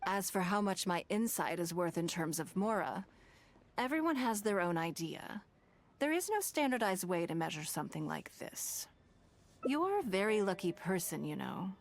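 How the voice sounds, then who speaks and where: A rich, self-assured game noblewoman, slightly low voice, formal, composed, teasing, music underneath. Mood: gently teasing. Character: wealthy, poised, shrewd noblewoman, calm and teasing. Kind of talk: video game voice acting. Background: game background music.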